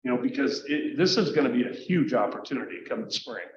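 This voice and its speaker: A man's voice: mid-range voice, expressive, fast, a little hiss or hum. Motivational man in a very echoey room. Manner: motivational, rhetorical questions. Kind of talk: motivational talk. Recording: very echoey room, muffled sound.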